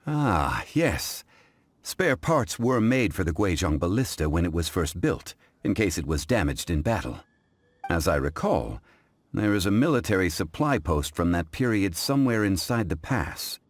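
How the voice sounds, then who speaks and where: A man playing a composed, scholarly gentleman in a video game, speaking formally and courteously in measured phrases. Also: sits low, big pitch swings, no echo. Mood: thoughtful. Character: composed, scholarly, dignified gentleman of ancient wisdom. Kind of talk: English game voice acting. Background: background music.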